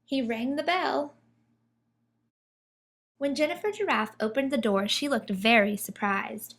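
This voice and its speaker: A woman reading a children's picture book aloud in an expressive storytelling manner. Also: fairly high pitch, unhurried.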